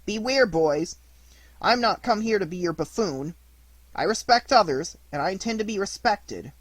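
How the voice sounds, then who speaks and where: A reader voicing an eager, excitable wooden puppet boy in a storybook reading, with a slightly husky tone. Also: mid-range voice, moderate pace, no room sound, natural voice, rough recording. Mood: earnest. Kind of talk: audiobook character reading.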